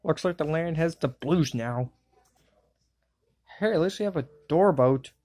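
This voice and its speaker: A woman voicing a jokey, excitable young boy wizard in a cartoon fan dub, cracking wisecracks and yelping exclamations. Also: sits low, measured pace.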